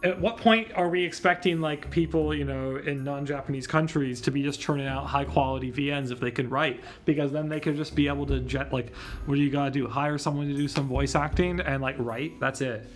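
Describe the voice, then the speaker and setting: A man's voice: medium pitch, steady tone, fairly quick. A man doing casual livestream commentary as he reads a game, rambling and thinking aloud. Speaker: streamer reading a visual novel aloud. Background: faint game music.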